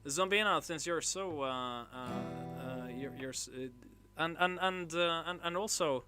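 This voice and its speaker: A man, his voice sitting a little high for a man, talks slowly and casually to his stream chat. He stumbles along with many filler sounds and restarts as he answers viewers, with acoustic guitar music nearby. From northern Norway. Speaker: livestream host. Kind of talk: casual livestream chat, reading chat messages.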